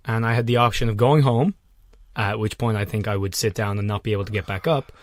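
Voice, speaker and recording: A man's voice: fairly low pitch, steady tone, fast talker, dry recording. Tired man rambling on a podcast. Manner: rambling, jokey storytelling. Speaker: podcast co-host. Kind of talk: casual banter. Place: small home room.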